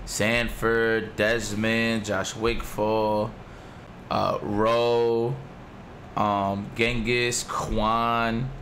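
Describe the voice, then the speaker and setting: Raspy excited male stream host. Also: medium pitch, slight background noise. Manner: excited, swears a lot, repeats himself. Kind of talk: casual stream commentary over old match footage.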